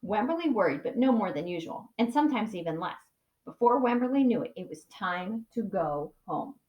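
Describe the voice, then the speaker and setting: A woman teacher reading a picture book aloud to young children, with dramatic emphasis and pauses to ask them questions. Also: mid-range voice, expressive, muffled recording. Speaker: pre-K teacher. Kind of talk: expressive children's storybook read-aloud. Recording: echoey room sound.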